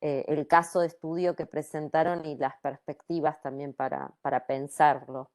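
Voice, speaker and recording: Low-voiced, hesitant woman commenter. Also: flat delivery, normal speed. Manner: hesitant, soft-spoken, many fillers. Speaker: audience commenter. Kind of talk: academic discussion comment.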